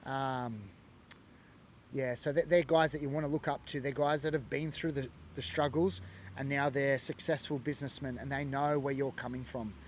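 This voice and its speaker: A man's voice: medium pitch, steady tone, moderate pace, slight background noise. An Australian man from Sydney, telling a personal story in an earnest, emotional way with lots of fillers. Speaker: former biker sharing his story. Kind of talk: personal testimony monologue.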